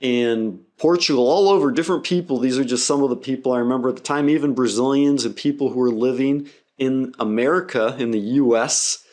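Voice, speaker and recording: A middle-aged man who is a native English speaker, explaining his language-learning method to students in a steady, measured way. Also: medium pitch. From English-speaking country. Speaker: English teacher.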